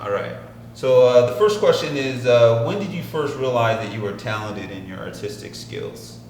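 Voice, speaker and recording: A man's voice: mid-range voice, animated, moderate pace, darkish tone, reverberant, far from the mic, a little hiss or hum. A man co-hosting a podcast, friendly and asking questions, sometimes repeating his words. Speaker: podcast co-host. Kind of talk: casual interview hosting.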